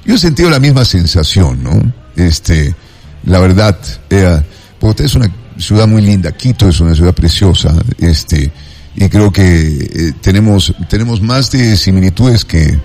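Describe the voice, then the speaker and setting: Effusive, low-pitched Ecuadorian man hosting a show. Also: expressive, a little mellow. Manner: effusive, digressive, halting with repetitions. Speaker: talk show host. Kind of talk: casual rambling monologue and interview chat.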